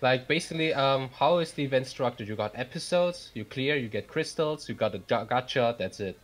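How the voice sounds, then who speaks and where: A man's voice: medium pitch, animated, fairly quick. Male co-host, chatty and inquisitive, teasing tone, mid-range voice. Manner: curious, teasing, asks lots of questions. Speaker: podcast co-host. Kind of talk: casual gaming chat.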